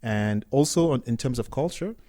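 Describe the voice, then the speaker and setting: A middle-aged man from Cameroon, a startup co-founder talking casually as an interview guest, relaxed and often restarting his words. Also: mid-range voice, normal speed, no echo, clean studio sound.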